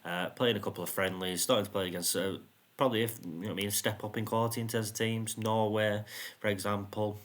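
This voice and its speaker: A man with a self-described monotone voice, casually commenting on his football management game, rambling with plenty of ums. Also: lowish voice, fast. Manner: rambling, frequent ums and "you know what I mean". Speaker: gaming YouTuber.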